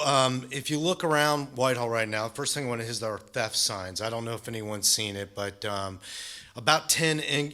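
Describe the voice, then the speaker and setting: Brief: deputy chief, a man with an Ohio accent, nasal and stuffy, bright-toned, matter-of-fact. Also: mid-range voice. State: stuffy from allergies. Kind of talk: town hall briefing.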